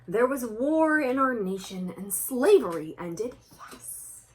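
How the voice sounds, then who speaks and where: A woman's voice: fairly high pitch, lively intonation, takes it slowly, poor audio. A woman reading a picture book aloud to children expressively, breaking off to explain things directly to young listeners. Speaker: children's book reader and presenter.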